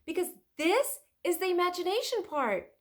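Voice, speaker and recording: Woman as young girl adventurer, storybook character, high voice, eager, insistent, very animated. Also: normal speed. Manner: excited, insistent, exclaiming.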